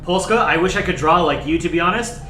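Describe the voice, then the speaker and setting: A young man hosting a casual livestream, chatting in a relaxed, rambling way and thanking viewers by name. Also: high voice, fast talker, echoey room, faint music behind. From United States. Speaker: livestream host. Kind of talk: casual chat.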